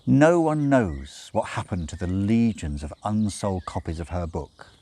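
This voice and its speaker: Low-voiced man telling a story, very animated. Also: a little slow. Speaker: audiobook reader. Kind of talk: expressive scripted storytelling narration.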